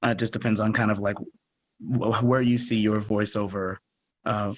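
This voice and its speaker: A man talking earnestly in a casual online interview, haltingly as he thinks aloud. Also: on the low side, little pitch movement, a little hiss or hum. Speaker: podcast producer and guest. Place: live social media video call.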